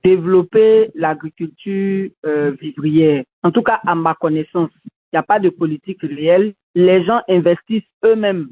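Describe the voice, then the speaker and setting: Ivorian woman on a tinny call. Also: fairly low pitch. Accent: Ivorian French. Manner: earnest, critical, measured. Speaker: host of a civic radio show. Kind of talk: commentary and answering callers. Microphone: video call. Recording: dry, tinny video-call audio.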